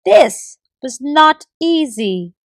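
A woman's voice: high voice, sing-song, drawn-out words, dry recording, studio quality, voice pushed up, exaggerated voice. A woman voicing a clever, boastful male forest gecko in a children's story, stubbornly turning down every request. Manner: proud, stubborn refusals.

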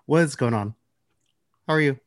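A man's voice: mid-range voice, a little slow. A man hosting a podcast in casual banter, with a playful, teasing manner. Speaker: podcast host.

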